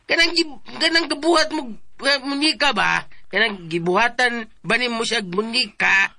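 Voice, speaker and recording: An actor playing a bickering, easily muddled comic companion in a radio drama, nagging with questions and broken phrases. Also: male voice, very high-pitched, moderate pace, sharp tone, exaggerated voice. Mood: confused, exasperated.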